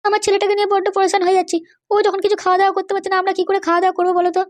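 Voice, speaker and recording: A woman's voice: extremely high pitch, level tone, rapid-fire. A woman voicing an anxious older parent in an amateur cartoon, pleading and insistent. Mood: worried.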